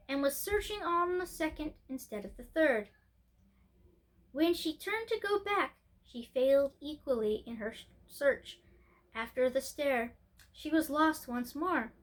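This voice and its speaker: A woman reading a children's story aloud as an expressive storyteller, occasionally stumbling over a word. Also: high-pitched, rough recording. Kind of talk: scripted narration, storybook read-aloud.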